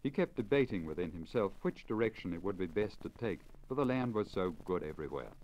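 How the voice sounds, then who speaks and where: A man reading a literary story in a measured, formal, carefully enunciated 1950s radio storytelling manner. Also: deep voice, animated, darkish tone. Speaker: story reader, radio broadcaster. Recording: crackly deteriorated 1950 lacquer disc recording. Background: surface crackle and noise.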